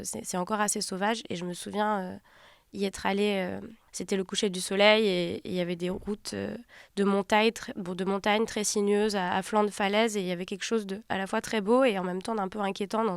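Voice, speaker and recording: Smooth-voiced, reflective woman director. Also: mid-range voice, dry recording, studio quality. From Turkey. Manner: reflective, halting, pauses to find words. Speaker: film director. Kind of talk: interview about her film.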